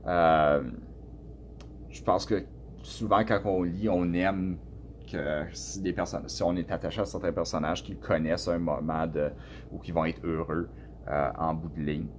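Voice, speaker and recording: A man from Canada with a Canadian French accent, answering students' questions about his book in a relaxed, hesitant way with many fillers and restarts. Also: low voice, measured pace, a little hiss or hum. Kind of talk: casual spoken answers to a class.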